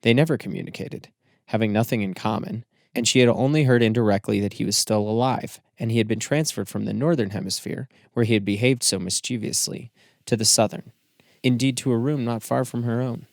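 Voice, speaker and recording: A man reading scripted literary narration for an audiobook in a measured, formal storytelling manner. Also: medium pitch, gravelly, no echo, studio quality.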